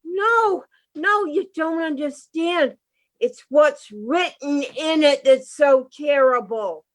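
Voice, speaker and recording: A woman playing an anxious, pleading wife in a radio play, speaking in halting, fretful bursts. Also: sits high. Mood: urgent pleading. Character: frightened, anxious wife pleading with her husband. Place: home, over a video call.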